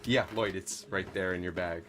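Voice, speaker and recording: An actor playing a patient, easygoing security guard in a naturalistic audio drama. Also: male voice, low voice, moderate pace, fairly bright tone, slight background noise. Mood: patient, soothing.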